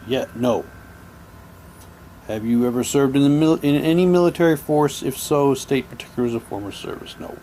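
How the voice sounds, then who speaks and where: A man's voice: mid-range voice, normal speed. A man in a casual unboxing commentary, reading documents aloud and musing to himself as he goes. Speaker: reviewer showing mail-order radio drama props. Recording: clear background noise, room ambience.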